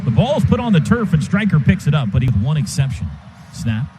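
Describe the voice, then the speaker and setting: An American man giving live radio play-by-play of a football game in clipped phrases with excited calls. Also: on the high side, a little fast, noisy background.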